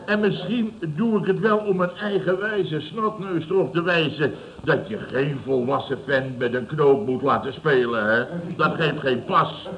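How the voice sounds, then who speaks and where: An actor playing a boastful, boisterous builder boss who tells stories in a repetitive, blustering way in a radio comedy. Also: male voice, fairly high pitch, measured pace, a little hiss or hum, lightly acted. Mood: smug. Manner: blustering, repetitive storyteller acting out quotes. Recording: dull old radio recording, studio audience.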